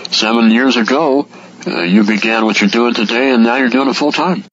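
A man's voice: medium pitch, moderate pace, a bit bright. A man interviewing a guest in an informal radio style. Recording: dull, faintly noisy radio recording.

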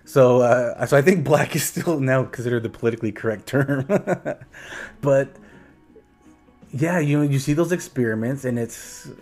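A man's voice: medium pitch, moderate pace, a little mellow, faint music behind. A man co-hosting a casual podcast, thinking aloud with many restarts and a reflective manner. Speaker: podcast co-host.

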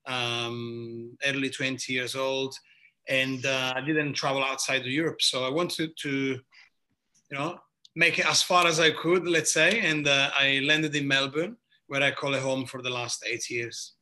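A man in his thirties with an Italian accent, a guest telling his story and pausing to find words in English. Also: mid-range voice, little pitch movement, sharp tone. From southeast Italy. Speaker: guest, bartender. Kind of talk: casual podcast chat.

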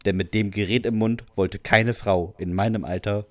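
A man's voice: lowish voice, fairly even intonation, moderate pace, no room sound. A man co-hosting a podcast, reading out listener stories in an amused, informal way. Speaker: podcast co-host.

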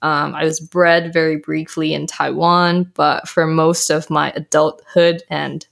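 A woman's voice: low-pitched, level tone, unhurried, gravelly. Bubbly young woman, lots of "like". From Canada. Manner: bubbly, laughs often, lots of "like" and "um". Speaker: podcast guest, health coach. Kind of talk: casual interview chat.

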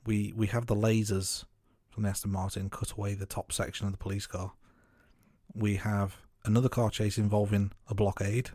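Low-voiced man recapping a film. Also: moderate pace, no room sound, studio quality. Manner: steady recap of scenes, some fillers. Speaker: podcast co-host. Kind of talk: casual film review chat.

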